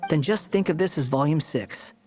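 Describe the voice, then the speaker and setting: An actor playing an aloof, sardonic young man in a video game, with a cool, smug, deliberate manner. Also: a little high, warm tone, faint music behind, natural voice.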